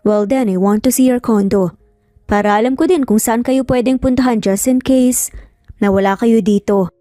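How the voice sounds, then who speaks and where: A woman's voice: on the high side, moderate pace, no room sound, studio quality, close to an everyday voice. A woman with a Filipino accent voicing a serious, assertive young father in a narrated story. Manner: firm, serious, switches into English. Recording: soft music under the voice.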